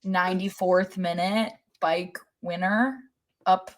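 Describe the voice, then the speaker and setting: A woman's voice: on the low side, fairly even intonation, slow. A woman co-hosting a podcast in casual, opinionated sports fan chat.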